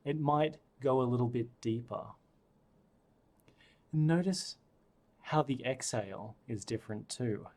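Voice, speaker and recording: A man guiding a breathing meditation, speaking softly and soothingly with long pauses. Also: mid-range voice. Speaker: meditation guide.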